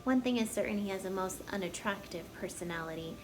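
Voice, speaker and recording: A woman voicing a composed female spider who speaks politely and frankly, in a measured, dry manner. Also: medium pitch, slight background noise, natural voice, low-quality recording. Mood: disapproving.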